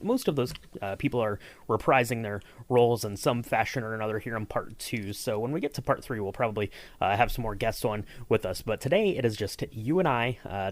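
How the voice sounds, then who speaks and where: A man's voice: medium pitch, no echo. A man hosting a podcast and interviewing his co-host, speaking in an upbeat way with frequent fillers.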